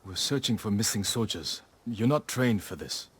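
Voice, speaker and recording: A man playing a stoic, honorable samurai lord in a video game, grave, measured and terse. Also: lowish voice, animated, slightly rough. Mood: firm, composed. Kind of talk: dramatic video game acting.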